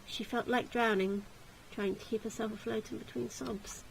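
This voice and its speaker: A woman's voice: a little high, fairly even intonation, moderate pace, gravelly, rough recording. Woman narrating dramatically, slight background noise. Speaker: narrator, game player reading aloud.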